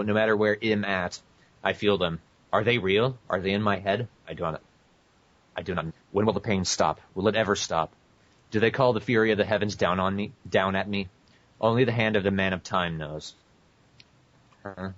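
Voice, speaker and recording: A man co-hosting a casual podcast, jokingly reading aloud with mock drama and prone to breaking into laughter. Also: on the low side. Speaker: podcast co-host. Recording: muffled lo-fi podcast audio.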